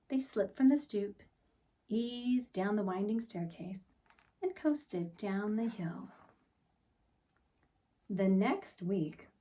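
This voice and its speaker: A woman reads a picture book to young children at a slow, deliberate pace. Her delivery is expressive, with soft phrase endings, and she sounds a little way off from the microphone. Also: mid-range voice, far from the mic. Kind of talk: storytime read-aloud for children.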